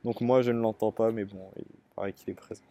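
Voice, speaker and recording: A young man with a Parisian French accent answers interview questions about his life and studies. He speaks thoughtfully, pausing often with fillers. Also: lowish voice, fairly even intonation, mellow. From Paris area, France. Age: young adult. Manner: thoughtful, hesitant, frequent fillers. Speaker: interviewee, student.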